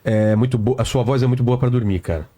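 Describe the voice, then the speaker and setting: A man's voice: mid-range voice, fast, mellow. A man hosting a casual podcast in a playful, teasing way.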